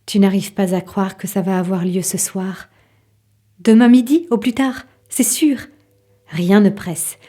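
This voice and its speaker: A woman's voice: medium pitch, unhurried, warm tone, close to an everyday voice. A woman playing an impatient wife and mother, narrating a scripted audio drama in the second person. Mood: eager.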